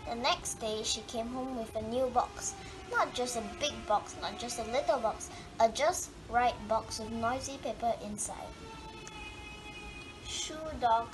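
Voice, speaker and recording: A woman reading a children's picture book aloud expressively, drawing out words for dramatic emphasis. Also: fairly high pitch. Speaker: storybook reader. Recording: clearly noisy home recording.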